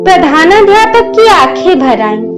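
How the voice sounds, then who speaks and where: High-voiced, slow-paced woman storyteller. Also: sing-song. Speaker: narrator. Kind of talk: scripted narration of a moral story. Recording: music under the voice. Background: instrumental music.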